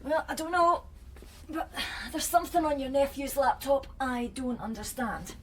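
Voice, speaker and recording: An actress playing a no-nonsense police detective in a radio drama, with a Scottish accent and a matter-of-fact manner. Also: female voice, sits high, lively intonation, normal speed, slight background noise.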